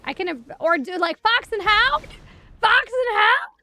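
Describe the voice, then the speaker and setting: A young woman chatting casually on a game stream, wry, animated and sweary, close on the mic. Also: very high-pitched, a little slow. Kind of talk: casual live stream chat while gaming.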